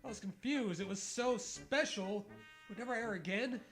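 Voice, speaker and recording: A man's voice: high voice, moderate pace, fairly bright tone, faint music behind. A man co-hosting a comic read-aloud of fan fiction, breaking into sarcastic, sweary casual banter. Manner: sarcastic, profane riffing.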